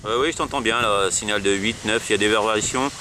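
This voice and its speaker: Low-voiced French man, hesitant. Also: fast, sharp tone, slight background noise, rough recording. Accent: French from France. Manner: hesitant, repetitive. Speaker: amateur radio operator presenting his portable setup. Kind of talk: casual spoken presentation and radio chat. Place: outdoors at a roadside.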